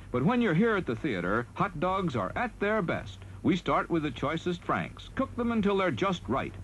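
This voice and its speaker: Old timey ad guy, highish super bouncy voice, upbeat pitch, slightly noisy old recording. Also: a bit bright. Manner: upbeat sales patter. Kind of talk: vintage drive-in advertisement narration.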